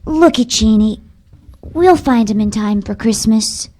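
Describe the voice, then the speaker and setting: An earnest young boy played by a woman in an old-time radio play. The voice is high and slangy, stumbling a little over words as a worried, loyal son would. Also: animated, a little mellow. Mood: eager. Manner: halting, slangy, gee-whiz exclamations. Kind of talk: old-time radio drama acting before a live audience. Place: live stage performance in a hall.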